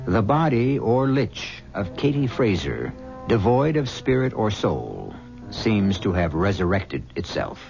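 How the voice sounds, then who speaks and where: A man hosting a 1970s radio drama, narrating between acts in a measured, wry way. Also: on the low side. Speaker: host. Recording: dull 1970s radio broadcast with music.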